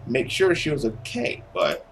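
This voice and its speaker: This is a narrator performing a visual novel as a dramatic reading. The delivery is theatrical and suspenseful, with lively swings in pitch. There is clear noise in the recording. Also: a little slow. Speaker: video game playthrough narrator.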